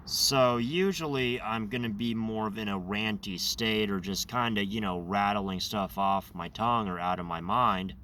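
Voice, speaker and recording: A man hosting his own channel, talking in a loose, rambling monologue with a raspy, slightly nasal voice, congested and over-caffeinated. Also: fairly low pitch, moderate pace, hardly any pauses, pushed and bright, distant mic, slight background noise. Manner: rambling, self-correcting. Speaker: channel host. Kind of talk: casual monologue.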